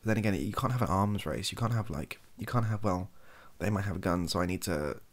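A British man around thirty giving improvised, softly spoken comedic commentary in a rambling, dryly humorous way, often interrupting himself. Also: sits low, brisk. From Wales, UK. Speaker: comedy ASMR video creator. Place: small cluttered bedroom or home office, indoors.

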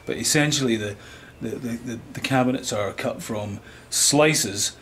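A man in his fifties with a Scottish accent informally presenting a product as an exhibitor, explaining in a hesitant, unhurried way. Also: medium pitch, a bit bright. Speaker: loudspeaker company exhibitor. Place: small hotel exhibition room, indoors. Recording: roomy hotel room, light background noise.

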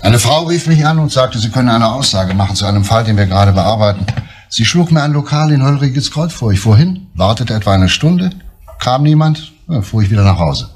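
An actor playing a composed, earnest police inspector in a German crime radio drama, speaking deliberately and insistently. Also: male voice, medium pitch, expressive, darkish tone, a little hiss or hum, natural voice. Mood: controlled, defensive.

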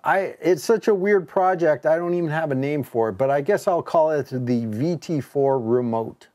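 A middle-aged man giving a casual, conversational hands-on demonstration as a video host. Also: on the high side. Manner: conversational, explanatory. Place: home studio workshop, indoors.

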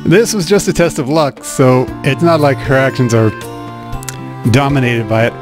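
A man's voice: mid-range voice, animated, moderate pace. A man hosting a let's play, translating and commenting on a game in a casual, wry way. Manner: casual, wry, dry humor. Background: game music.

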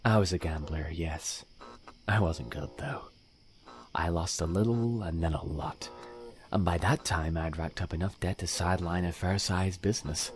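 An actor playing a deranged, nihilistic serial killer in an audio drama, brooding and menacing in a breathy voice that often drops to a whisper. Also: male voice, low voice, a little hiss or hum, natural voice. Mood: confessional. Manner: slow, brooding monologue in clipped phrases. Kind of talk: audio drama villain monologue.

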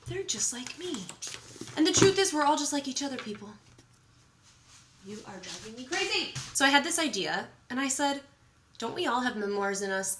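A woman in her thirties talking casually to camera in a vlog, with an animated, playful and candid manner. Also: fairly high pitch, a little hiss or hum. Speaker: vlogger and musician. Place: small home kitchen, indoors.

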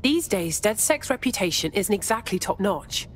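A woman's voice: mid-range voice, animated, moderate pace, soft background music, natural voice. A woman playing a wary but committed young recruit in a video game. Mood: wary, concerned. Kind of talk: video game character acting.

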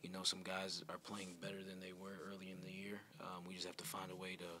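Low, flat-voiced man at a presser. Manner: measured, repeats himself with many "um"s. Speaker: basketball coach. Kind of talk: postgame press conference. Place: press room in front of a sponsor backdrop. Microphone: table mic in front of him.

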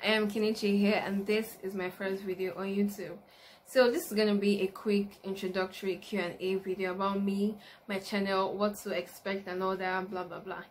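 A woman's voice: mid-range voice, flat delivery, normal speed. Casting: woman, early twenties, Nigerian accent, informal YouTuber chat, home recording with audible background noise. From Lagos, Nigeria. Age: early 20s. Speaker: YouTuber, university student. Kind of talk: casual chat to camera, question and answer. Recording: noticeable background noise, room ambience.

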